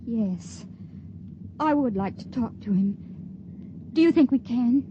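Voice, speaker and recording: An actress playing a frightened, wistful young female stowaway in a 1950s radio drama, with earnest, halting, emotional phrasing. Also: fairly high pitch, lively intonation, warm tone. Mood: quietly hopeful. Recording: muffled, noisy old radio recording.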